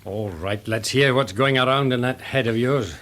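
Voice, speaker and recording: An actor playing a sceptical, blunt police inspector with a British accent in a classic radio drama, talking in colloquial terms. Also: male voice, medium pitch, moderate pace, a bit bright, natural voice. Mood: matter-of-fact. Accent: British English.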